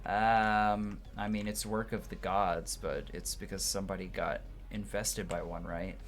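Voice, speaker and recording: A man's voice: low voice, fairly even intonation, measured pace. A man giving casual, informal commentary while playing through a video game. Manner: informal, slangy. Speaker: let's player voicing a game's dialogue. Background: game music.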